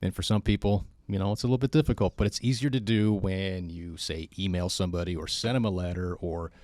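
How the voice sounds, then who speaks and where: A man's voice: low-pitched, fairly even intonation, fast, a little mellow, no echo, studio quality. A man who teaches personal training, chatting casually on a podcast in a chatty, self-deprecating way with many restarts.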